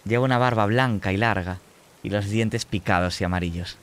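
A man narrating a tabletop horror game as game master, measured and descriptive. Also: on the low side, a bit bright, slight background noise.

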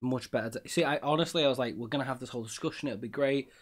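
A man's voice: medium pitch, racing. A middle-aged man hosting a podcast over a video call, chatty and self-deprecating, peppering his talk with "like, you know". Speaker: podcast host. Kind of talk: casual interview talk.

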